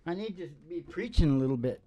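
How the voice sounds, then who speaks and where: A middle-aged man hosting with rambling, improvised storytelling in a folksy, halting way, speaking into a close stand microphone. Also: fairly high pitch, animated, dark tone. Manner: folksy, halting, with trailing sentences. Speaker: podcast host and singing DJ. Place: small indoor stage with curtain backdrop.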